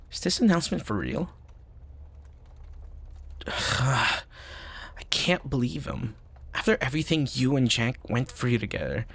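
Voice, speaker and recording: Casting: male actor as protective college-age best friend for ASMR roleplay audio, husky with vocal fry, gentle, hesitant, teasing. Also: mid-range voice, exaggerated voice. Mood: shocked, indignant. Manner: soft, sighing, hesitant stammers, breathy asides.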